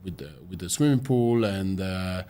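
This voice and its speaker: Italian-born guy, kinda low voice, podcast guest just explaining stuff calmly. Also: normal speed, a little hiss or hum. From Italy. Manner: relaxed, explanatory, some fillers. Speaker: guest, business founder. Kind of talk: interview answers. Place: podcast studio.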